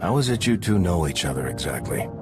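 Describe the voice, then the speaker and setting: A man's voice: sits low, big pitch swings, fairly quick, loud music behind the voice. A man playing a stoic military commander in a video game, speaking in a terse, level, businesslike way. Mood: cool, questioning. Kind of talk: video game cutscene acting.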